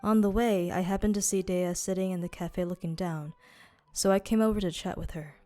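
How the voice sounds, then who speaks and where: A woman voicing a devout, courteous desert guardian in an amateur game dub, speaking in a formal, measured, advising manner. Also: on the low side, fairly even intonation, warm tone, faint music behind, lightly acted. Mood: courteous, serene.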